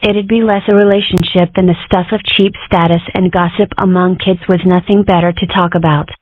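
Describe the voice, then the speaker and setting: A woman's voice: on the low side, level tone, a little fast, no echo, natural voice. Woman voicing a matter-of-fact cartoon girl, phone-like sound. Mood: wry. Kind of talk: comic strip dub. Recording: muffled phone-like band.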